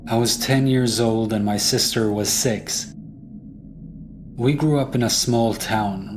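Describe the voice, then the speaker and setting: Slow, low-voiced man narrating horror. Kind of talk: scripted horror story narration. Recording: music behind the voice.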